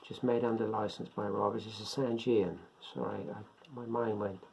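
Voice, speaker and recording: An older man giving a casual, unscripted product demonstration, talking haltingly as he thinks aloud. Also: fairly low pitch, little pitch movement, creaky, darkish tone, slightly rough. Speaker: presenter of a beginners' radio hobby video. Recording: dull lo-fi sound, faint background noise.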